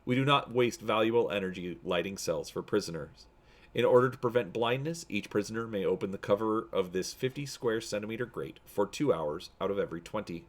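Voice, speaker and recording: A man's voice: lowish voice, brisk, lightly acted. A man playing an officious, droning imperial officer giving a formal guided tour in a science fiction story.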